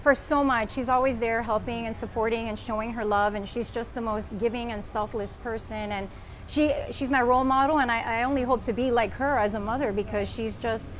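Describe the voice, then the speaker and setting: A woman's voice: fairly high pitch, fairly even intonation, fairly quick, slight background noise. A woman who works as a news anchor, talking earnestly and reflectively in a heartfelt conversation.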